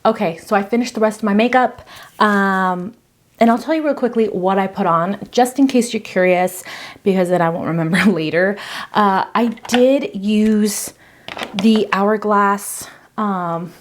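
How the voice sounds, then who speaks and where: A woman's voice: mid-range voice, measured pace. Chatty woman in her thirties reviewing makeup. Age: 30s. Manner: chatty, filler-heavy, breezy. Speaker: beauty vlogger. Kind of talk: casual product review.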